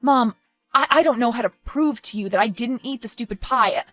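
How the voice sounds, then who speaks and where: Woman as defensive, exasperated woman, fairly high-pitched. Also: normal speed, soft background music, lightly acted. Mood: pleading, exasperated. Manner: defensive, indignant. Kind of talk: dramatic dialogue acting.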